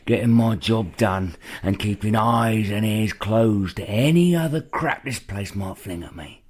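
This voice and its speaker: Gruff, sardonic castle jailer, played by a man. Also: on the low side, measured pace, lightly acted. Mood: guarded. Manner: wry, mocking, dark-humoured. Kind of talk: audio horror play acting.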